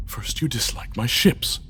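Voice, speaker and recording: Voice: medium pitch, gravelly, a bit bright, slightly rough, exaggerated voice. An actor in an audio drama playing a proud, embittered, easily wounded leader with formal, haughty diction. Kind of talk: full cast audio drama acting.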